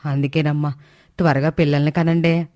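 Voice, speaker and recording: A woman's voice: low-pitched, level tone, moderate pace, mellow, dry recording, clean studio sound, voice pushed down, lightly acted. A woman voicing a worried middle-aged mother in a story, nagging and coaxing.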